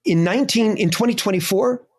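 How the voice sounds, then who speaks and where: A man's voice: sits high, fairly quick, a little mellow, husky. A middle-aged man, a historian, answering an interview question in an emphatic, impassioned way. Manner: emphatic, impassioned, lists points. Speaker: historian guest.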